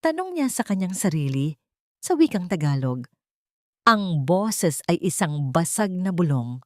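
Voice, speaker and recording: A woman reading a scripted dramatic story in a measured, solemn, suspenseful way. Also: on the low side, very animated, no echo, clean studio sound. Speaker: narrator of audio drama stories.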